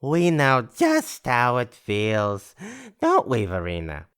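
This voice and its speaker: Man playing a slow, flippant drinker. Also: mid-range voice, wildly swooping pitch, a bit bright, clean tone, heavily acted. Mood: chatty. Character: flippant, self-pitying hard-drinking brother, a stranded refugee. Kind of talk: audio drama acting.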